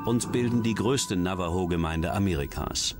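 A man's voice: low-pitched, normal speed, close to an everyday voice. A man reading scripted true-crime documentary narration as the narrator. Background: background music.

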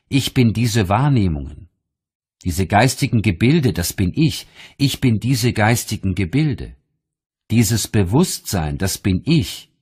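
A man narrating an audiobook of spiritual teachings in a measured, deliberate, solemn reading style. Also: on the low side, darkish tone, husky.

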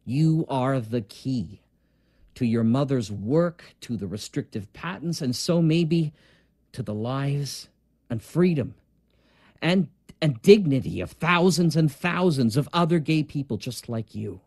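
A man playing a grave, principled academic doctor in an audio drama, speaking in a measured, formal way. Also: mid-range voice, animated, a little mellow, breathy edge, no echo, studio quality. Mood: earnest.

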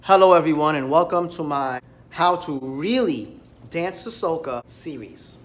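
A man's voice: fairly high pitch, a little hiss or hum. An American man in his thirties, ranting and lecturing straight to a phone camera in a small room. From United States. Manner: emphatic, lecturing, direct address. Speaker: dance vlogger. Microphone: phone camera at arm's length.

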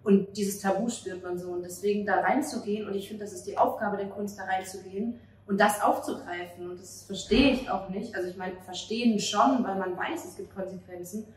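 A woman's voice: mid-range voice, steady tone, brisk. A woman in her thirties from Germany gives earnest interview answers into a handheld mic held across the table. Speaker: playwright and actress being interviewed. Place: outdoor café table on a street at night. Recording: roomy, distant mic, street-side ambient noise.

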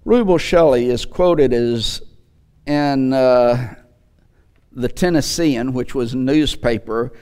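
A man's voice: medium pitch, slow, a little mellow, clear, resonant tone. A man in his sixties preaching a sermon from a church pulpit into a headset mic, emphatic, with rhetorical questions and repetition. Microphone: headset microphone.

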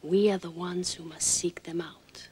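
Actress playing a warm older mother, hushed and confiding, low voice, faint hiss behind, from a film clip. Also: fairly even intonation, unhurried, lightly acted. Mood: tender. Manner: hushed, confiding storytelling that drops to a whisper.

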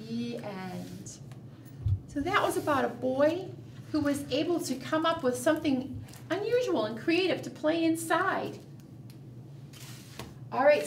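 High-pitched woman reading slowly to preschoolers. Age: middle-aged. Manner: animated, sing-song, coaxing with questions. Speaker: children's librarian leading preschool storytime. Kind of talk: storytime read-aloud and chat addressed to small children. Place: small indoor room, seated at a table. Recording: roomy, distant mic, faint room noise.

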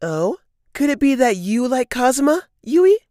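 Woman as an excitable high-voiced schoolboy. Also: moderate pace, no room sound, clean studio sound. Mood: teasing, curious. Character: excitable, gossipy teenage schoolboy, easily astonished. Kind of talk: manga dub acting.

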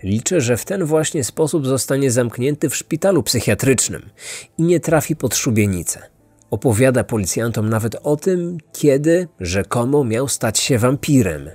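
A man narrates a true crime story as a podcast host. His voice sits at an average male pitch and follows a script. Music plays behind him. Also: lively intonation, moderate pace, darkish tone, no echo.

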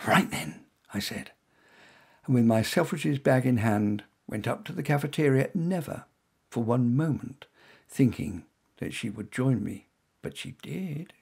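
A man reading his own short story aloud as first-person narration in a wry, expressive manner. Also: mid-range voice, a little slow, vocal fry, slightly rough.

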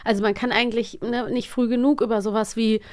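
Podcast-Moderatorin mit eher heller, hoher Stimme. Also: female voice, fairly high pitch, steady tone, fast talker, darkish tone. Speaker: podcast co-host. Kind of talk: casual chat, reviewing audio dramas.

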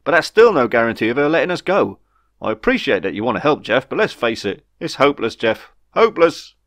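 A man's voice: mid-range voice, very animated, fairly quick, fairly bright tone, dry recording, voice pushed up. A man voicing an emphatic, colloquial, matter-of-fact shopkeeper character in a storybook. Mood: resigned, despairing. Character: gloomy bearded human shopkeeper, matter-of-fact and easily excited.

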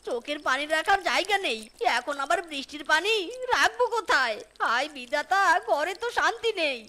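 A performer voicing a henpecked male cartoon fox, whiny and cheeky, exclaiming and complaining in a squeaky voice. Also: lively intonation, fairly quick, bright, forceful tone. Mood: miserable, complaining. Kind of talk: animated cartoon dialogue. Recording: music behind the voice.

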